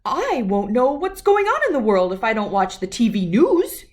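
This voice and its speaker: A woman's voice: on the high side, big pitch swings, normal speed. A woman voicing a blustery, emphatic father bear in a children's storybook. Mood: protesting.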